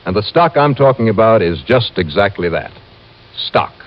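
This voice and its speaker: An actor playing a cool, wry, tough insurance investigator, dry and unruffled, in vintage radio style. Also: male voice, on the low side, expressive, moderate pace, lightly acted. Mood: smooth announcing. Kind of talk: vintage radio detective drama acting and narration. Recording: muffled, hissy old-time radio recording.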